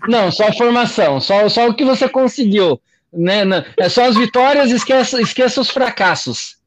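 A man's voice: very high-pitched, animated, moderate pace, no echo. A man hosting a podcast, asking questions in a hesitant way, repeating words and slipping in jokes. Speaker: podcast host. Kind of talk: casual interview.

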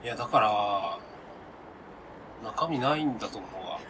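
A man's voice: on the low side, lively intonation, a little slow. A man co-hosting a podcast, talking casually with his friends. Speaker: podcast co-host. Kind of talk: casual chat among friends. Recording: clear background noise.

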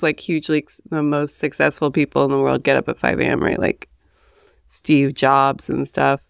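A woman hosting her podcast, chatting in a rambling way with asides, hoarse from having just woken up at home. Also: low voice, no echo, studio quality. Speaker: podcast host. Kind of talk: casual solo monologue. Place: home, early morning.

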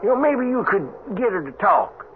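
An actor playing a folksy, superstitious cowhand with a rustic American Western drawl in an old-time radio drama. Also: male voice, high voice, very animated, normal speed. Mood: troubled. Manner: drawling rustic cowboy talk. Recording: tinny, noisy old radio recording.

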